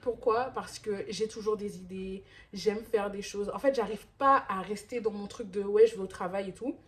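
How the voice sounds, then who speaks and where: A woman in her thirties chats casually to her camera at home. She rambles, restarts her sentences and fills the gaps with hesitations, in a voice of middling pitch. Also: normal speed. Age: 30s. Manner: rambling, many restarts and fillers. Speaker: vlogger.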